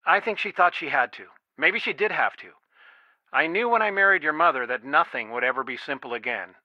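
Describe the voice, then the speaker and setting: An actor playing a protective, loving, middle-aged father in a video game, earnest and measured. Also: medium pitch, no room sound, lo-fi sound, natural voice. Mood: somber, tender. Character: protective, worn, loving father of a young detective.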